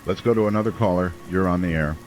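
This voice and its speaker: A man playing a steady small-town radio host who delivers grave, urgent emergency updates in an audio drama. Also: deep voice, fast talker, soft background music, natural voice. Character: steady small-town American male late-night radio host during werewolf siege. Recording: noisy, with rain-like hiss behind.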